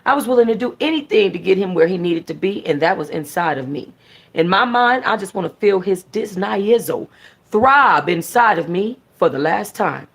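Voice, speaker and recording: A woman's voice: mid-range voice, animated, normal speed, breathy edge. A woman hosting a book club, reading a first-person story aloud in an informal way, sometimes stumbling and correcting herself. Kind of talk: animated first-person audiobook-style reading with casual asides.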